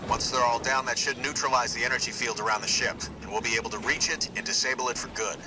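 An actor playing a determined male marine squad leader in a sci-fi war game, brisk and commanding. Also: medium pitch, steady tone, noisy background, close to an everyday voice. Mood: resolute. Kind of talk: video game military acting.

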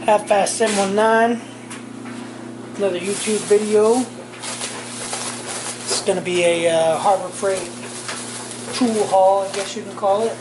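A middle-aged man rambles slowly through a casual haul vlog, trailing off and correcting himself as he goes. He is filming in his home garage on a handheld camera. There is a steady hiss of noise under the voice. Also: high voice. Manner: rambling, slow and offhand, with many fillers and self-corrections. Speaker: channel host. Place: home garage workshop, indoors. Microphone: handheld camera mic, filming point of view.

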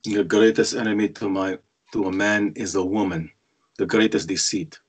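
A man playing a brooding, bitter character who narrates his dark thoughts in a husky voice, in a script read. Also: lowish voice, steady tone, moderate pace, a little hiss or hum, natural voice. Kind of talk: dramatic voice-over monologue in a script read. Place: indoors on a group video call.

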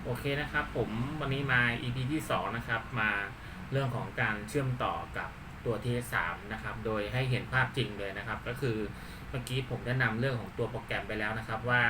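A man from Thailand giving a casual hands-on demonstration as a channel host, talking informally and repeating himself with frequent polite particles. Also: mid-range voice. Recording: distant mic with faint background noise.

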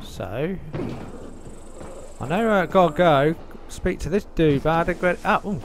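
A man's voice: a little high, expressive, measured pace, slight background noise. Man muttering casual game commentary. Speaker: gamer playing on his channel. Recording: loud game music and sound behind. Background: loud game music.

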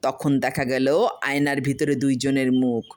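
A woman reading a humorous folk story as scripted narration, in an expressive, literary storytelling manner. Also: extremely low pitch, unhurried.

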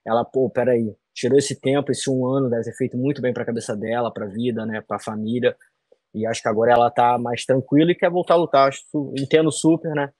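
A man's voice: mid-range voice, fairly even intonation, fairly quick, a little mellow, no echo. A man in his thirties answering interview questions over a video call from a small room, talking loosely with many fillers and tag questions. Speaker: fighter interviewee.

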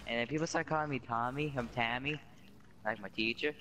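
A man's voice: mid-range voice, a little fast, pushed and bright, slight background noise. A sleep-deprived, giddy man rambling and babbling nonsense words while chatting in a group game session over a headset. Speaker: player in group game chat. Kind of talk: rambling casual gaming banter.

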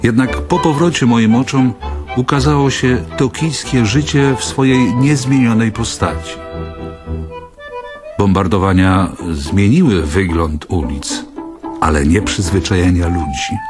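Slow, expressive male narrator over loud music. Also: on the low side. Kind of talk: scripted literary narration in an audio drama.

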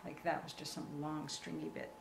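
Woman, lowish voice, reassuring craft instructor, casual live delivery in a reverberant room. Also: flat delivery, normal speed. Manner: reassuring, encouraging. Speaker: craft tutorial host. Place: indoor work table. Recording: roomy echo, clear background noise.

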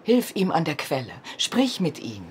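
A woman's voice: deep voice, sing-song, normal speed, slight background noise. An actress playing a caring, persuasive middle-aged farm wife and mother in a radio drama. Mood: earnestly persuasive.

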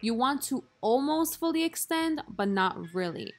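A woman's voice: sits high, expressive, normal speed. A young woman narrating her workout video in a casual, self-deprecating, upbeat voice-over. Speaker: fitness vlogger. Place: voice-over, not recorded in the gym. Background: music.

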